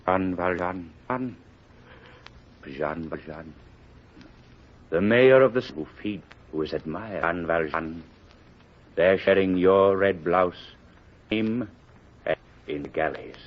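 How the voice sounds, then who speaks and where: Casting: solemn bishop haunting a conscience, low, very slow intoning, muffled old radio drama recording. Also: male voice. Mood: accusing, haunting. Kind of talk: vintage radio drama acting.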